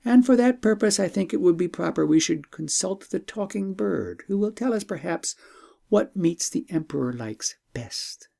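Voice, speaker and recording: A man acts out a young fairy-tale princess, earnest and practical. The pitch is lifted and moves freely, and the formal words are delivered with lively expression. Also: moderate pace, vocal fry, exaggerated voice. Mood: thoughtful. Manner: expressive, formal storybook diction. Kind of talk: audiobook storytelling.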